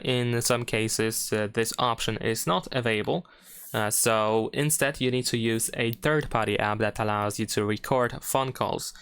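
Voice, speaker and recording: A man hosts a how-to walkthrough in a clean, smooth voice of medium pitch. He explains each step in turn without a script, adding small hedging asides as he goes. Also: fairly bright tone. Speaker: tutorial host. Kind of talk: unscripted how-to screen narration.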